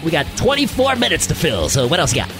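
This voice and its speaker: A man's voice: a little high, wildly swooping pitch, fast talker, music in the background. A man playing a brash small-town AM radio host with an announcer's delivery.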